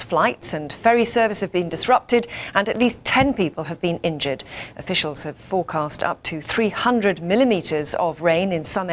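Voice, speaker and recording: A woman's voice: mid-range voice, slight background noise. A woman presenting television news, reading scripted bulletins and putting questions to a correspondent.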